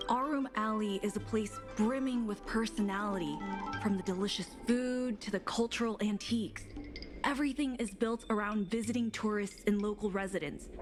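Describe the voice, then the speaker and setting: Game spokeswoman played by a woman: composed, formal, medium pitch, explanatory tone over music. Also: lightly acted. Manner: measured, explanatory. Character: composed, formal female spokesperson for a guild president. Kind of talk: video game voice acting. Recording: background music under the voice.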